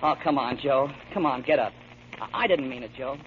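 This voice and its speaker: An actor playing a steady, protective, long-suffering brother with gruff care, in 1940s radio drama style. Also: male voice, medium pitch, a little fast, close to an everyday voice. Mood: weary, hushing. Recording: muffled old radio broadcast, clear hiss.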